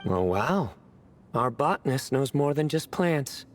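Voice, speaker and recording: A self-assured young video game character who delivers jokes with a straight face. His medium-pitched voice swings theatrically and a bit boastfully. Soft music runs underneath. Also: big pitch swings, unhurried. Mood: deadpan, boastful. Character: young man, deadpan, self-assured joker who loves puns.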